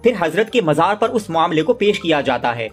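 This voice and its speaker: A man giving steady, scripted documentary narration. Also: fairly high pitch, moderate pace, faint music behind.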